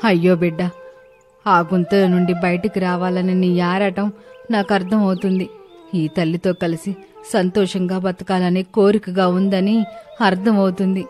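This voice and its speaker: A woman's voice: lowish voice, a little mellow, natural voice. A woman voicing a distressed, loving mother cow in a storybook tale, lamenting and pleading. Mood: anguished, helpless.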